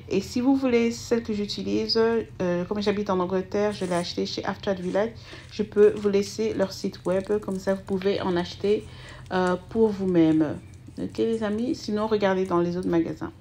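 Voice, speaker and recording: A woman's voice: mid-range voice, steady tone, normal speed, mellow, a little hiss or hum. A woman, hosting a home cooking video as she talks viewers through a recipe in a chatty, hesitant way with many fillers. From Belgium. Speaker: cooking channel host. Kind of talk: casual cooking voice-over.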